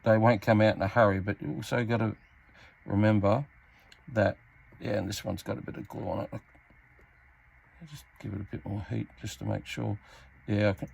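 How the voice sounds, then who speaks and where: An Australian man giving a casual hands-on repair tutorial, talking in a halting, unscripted, step-by-step way as he works. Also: on the low side, darkish tone. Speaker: hobbyist demonstrating a radio repair. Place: indoors at a repair workbench. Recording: clearly noisy amateur workbench recording.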